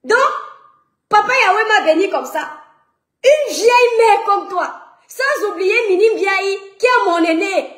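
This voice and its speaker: A woman's voice: very high voice, echoey room. A woman from Cameroon with a Cameroonian French accent, mocking and confronting her critics in a heated rant to her followers. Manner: mocking, confrontational, rhetorical questions. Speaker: social media personality. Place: France.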